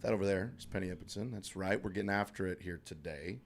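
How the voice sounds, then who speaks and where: A man co-hosting a podcast in casual chat, his voice scratchy and hoarse from allergies, upbeat and joking with lots of fillers. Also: sits low, fast talker. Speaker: podcast co-host. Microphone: studio microphone.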